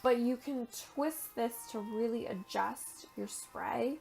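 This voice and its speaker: A young woman, raspy and tired after a long day, chatting casually as she demonstrates an art journaling technique and sometimes murmuring to herself. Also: a little high, slow pace, a little mellow, soft background music. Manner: chatty, thinks aloud while working, drops to a murmur at times. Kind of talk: casual crafting tutorial.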